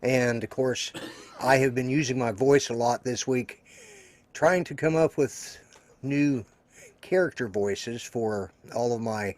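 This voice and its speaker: A hoarse man in his sixties, co-hosting a live show and rambling along with self-deprecating jokes. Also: mid-range voice, slow, a little mellow. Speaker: co-host. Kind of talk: casual live-stream chat.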